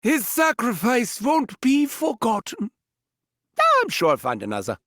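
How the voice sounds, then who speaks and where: Wildly swooping, gleeful German mad scientist. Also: male voice, extremely high pitch, wildly swooping pitch, unhurried, a bit bright, no room sound, clean studio sound, exaggerated voice. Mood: manic glee. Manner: theatrical, swooping from gleeful shrieks to sinister murmurs. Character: gleeful sadistic mad scientist villain, theatrical and taunting. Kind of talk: video game character voice lines.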